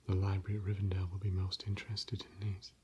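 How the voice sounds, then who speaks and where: A man softly whispering as a gentle scholar of ancient elvish lore, in an ASMR roleplay. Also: low-pitched, completely flat delivery, fast, a little hiss or hum, natural voice. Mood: hushed. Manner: soft whispering. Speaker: ASMR performer.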